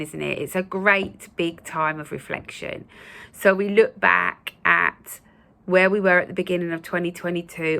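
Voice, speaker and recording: A woman hosting her own channel, speaking in a casual, gentle, reassuring way with a croaky voice from a recent cold and many fillers. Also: lowish voice. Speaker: spiritual channel host. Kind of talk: casual spoken talk to viewers.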